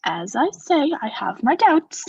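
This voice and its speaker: A young woman playing a coldly vengeful noble who feigns friendship, in a measured, formal, insinuating theatrical radio-play style. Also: high voice, big pitch swings, vocal fry, darkish tone, husky, dry recording, lo-fi sound, full character voice. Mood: falsely solicitous. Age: young adult. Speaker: student actor.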